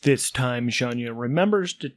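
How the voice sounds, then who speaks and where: A man's voice: medium pitch, animated, normal speed. Informal man narrating a solo tabletop game. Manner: informal, thinking aloud.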